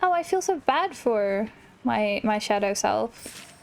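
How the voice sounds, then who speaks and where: A woman's voice: a little high, lively intonation, slow, vocal fry. A woman hosting a gaming video, giving casual let's-play commentary.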